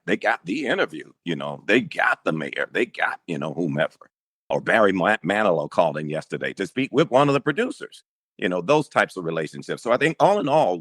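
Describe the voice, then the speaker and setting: A man who anchors radio news, talking casually in an interview, telling stories with emphatic, animated asides. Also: deep voice, dry recording, studio quality.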